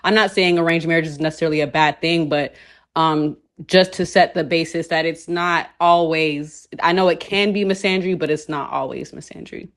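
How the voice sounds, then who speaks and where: A woman from Ethiopia joining a live panel discussion and speaking in a measured, earnest way with frequent pauses. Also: low voice, fairly even intonation. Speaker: panel participant. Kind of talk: casual live-stream discussion.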